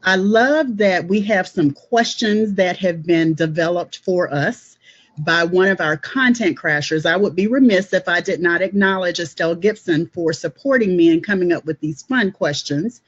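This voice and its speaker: A woman's voice: on the low side, moderate pace. A woman hosting a casual online panel chat in an upbeat way, often saying "awesome.". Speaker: host. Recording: dull lo-fi web call audio.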